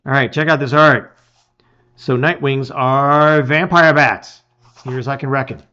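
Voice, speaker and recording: A man's voice: mid-range voice, a little slow, bright, forceful tone. A middle-aged man giving a dramatic reading of a fantasy adventure, on a slightly dull recording. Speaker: storybook reader.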